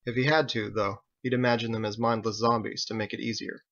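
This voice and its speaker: A man's voice: fairly low pitch, little pitch movement, fast talker, fairly bright tone, highs cut off. A man reading his own novel aloud as dramatic audiobook narration.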